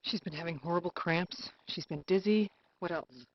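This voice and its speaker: Actress as anxious middle-aged mother, low voice. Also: moderate pace, close to an everyday voice. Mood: worried, nagging. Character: anxious, nagging mother of a sick daughter. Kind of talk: live-action game acting. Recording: dull lo-fi game video audio.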